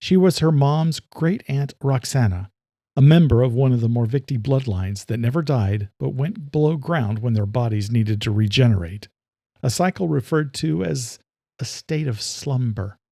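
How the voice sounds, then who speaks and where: A man narrating a horror-thriller story in a measured, steady storytelling manner. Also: medium pitch, dry recording, clean studio sound. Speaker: narrator and author. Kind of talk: scripted audiobook narration.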